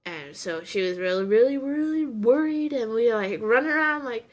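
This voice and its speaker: A woman's voice: on the high side, lively intonation, moderate pace. A young woman hosting a casual podcast, chatting in a rambling way with many restarts while she has a runny nose and sniffles. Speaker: podcast host reviewing anime. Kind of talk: casual chat.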